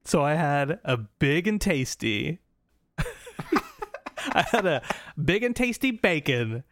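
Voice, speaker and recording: A man's voice: fairly high pitch, fairly bright tone, no room sound, clean studio sound. American man telling a rambling story. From United States. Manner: rambling, laughing, many restarts. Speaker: podcast co-host. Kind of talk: casual storytelling chat.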